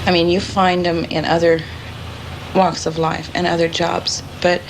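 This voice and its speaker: A woman shares an opinion in a casual, unscripted way. Her voice is slightly low and very even in tone, on a noisy archival recording with vehicle ambience. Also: unhurried. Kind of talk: casual opinion, speaking off the cuff.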